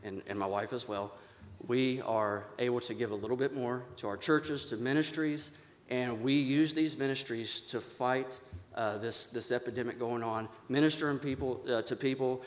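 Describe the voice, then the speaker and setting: A man's voice: medium pitch, steady tone, moderate pace. An American man giving earnest personal testimony as a guest at a public roundtable. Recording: echoey hall.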